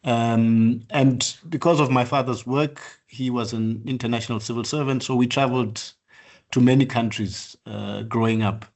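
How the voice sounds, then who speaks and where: An older man from southern Africa gives a lecture on his work. He speaks in a measured, halting way, pausing often on fillers and starting phrases over. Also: medium pitch, fairly even intonation, a little mellow. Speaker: architect lecturer. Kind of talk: online practice lecture.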